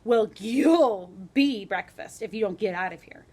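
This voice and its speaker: A towering giantess from a children's storybook, read aloud by a woman. She speaks bluntly, with gruff menace, as someone who warns off unwanted visitors. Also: mid-range voice, expressive, unhurried, darkish tone. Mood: gruff warning. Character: great big tall giant's wife, gruff and warning.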